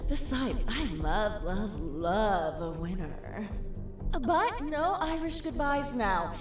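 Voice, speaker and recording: A woman voicing a coy, seductive, faintly menacing casino character in theatrical video game style, teasing and drawn-out, with echo. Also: fairly high pitch, sing-song. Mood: teasing, sly. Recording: roomy, distant, dull audio with music.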